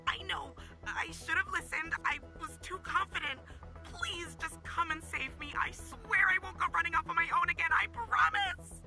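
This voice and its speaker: Very high-pitched panicky young woman. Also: normal speed. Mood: frightened, pleading. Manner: pleading, excitable, loud. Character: panicky, overconfident young woman client in distress. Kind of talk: video game voice acting. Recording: game music behind the voice.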